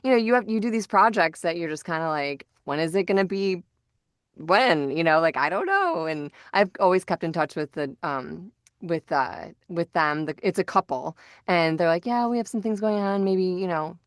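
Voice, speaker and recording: A woman's voice: on the low side, expressive, normal speed, no echo, clean studio sound. A woman chatting casually as a podcast host who also works as a voice actor.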